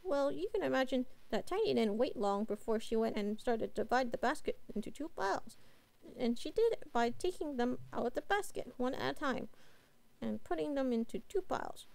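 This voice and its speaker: A woman voicing a cute, playful young catgirl, telling a bedtime story in a halting, chatty, informal way. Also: sits high, lively intonation, gravelly, a little mellow, poor audio. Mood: storytelling.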